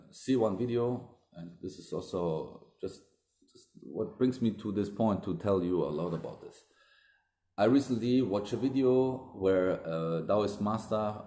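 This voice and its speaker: Low dark-voiced guy, earnest teacher, echoey room, phone cam. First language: German. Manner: earnest, emphatic, repeats himself. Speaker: Daoist teacher. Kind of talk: livestream talk to viewers. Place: room indoors. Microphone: phone camera close up.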